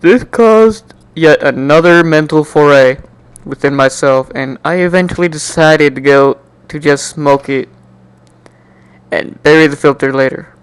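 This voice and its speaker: A man doing an amateur dramatic reading of a first-person story in an animated, jokey way, now and then stumbling and repeating words. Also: a little high, a little slow, clear, resonant tone, no echo, poor audio. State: coughing, slightly sick. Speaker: amateur fan fiction reader.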